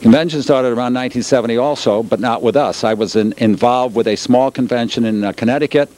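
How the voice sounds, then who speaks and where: A middle-aged man giving casual interview answers in a halting, matter-of-fact way. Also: medium pitch, a little mellow. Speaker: newsletter publisher and convention organizer.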